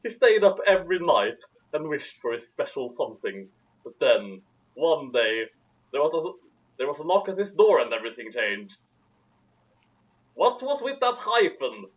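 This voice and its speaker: A man's voice: a little high, big pitch swings, normal speed, lo-fi sound. A man reading fan fiction aloud theatrically, with sarcastic asides. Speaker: narrator.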